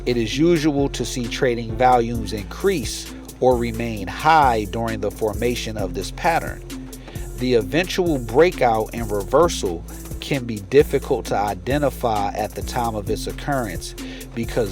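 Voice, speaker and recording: A man with a medium-pitched voice presents an instructional lesson as the host. He moves between reading aloud and informal commentary, leaning on key phrases and repeating them. He addresses the viewer directly, with music playing behind him. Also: unhurried. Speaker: trading educator, channel host.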